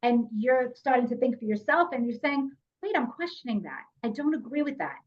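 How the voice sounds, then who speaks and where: A woman's voice: fairly high pitch, animated, a little fast. A woman hosting an astrology podcast in casual conversation, speaking animatedly and addressing her listeners directly. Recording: muffled lo-fi audio.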